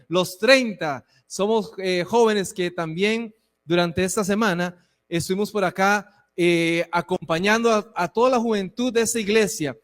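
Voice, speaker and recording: A man in his forties preaching to a church, conversational and warm, with frequent asides to the congregation. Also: high voice. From Costa Rica. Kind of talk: sermon and church address. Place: church hall. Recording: long reverb of a hall.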